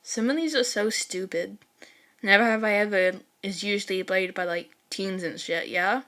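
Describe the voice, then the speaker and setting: A woman's voice: medium pitch, normal speed, fairly bright tone, natural voice. A woman voicing a snide, blunt teenage girl in a story, scoffing and slangy. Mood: scornful.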